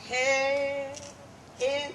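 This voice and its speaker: A woman performing a comedic reading, playful and laughing, measured in delivery. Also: sits high, completely flat delivery, fairly bright tone. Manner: playful, laughing, slow and deliberate. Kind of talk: live comedic dramatic reading. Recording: distant mic in a noisy live room.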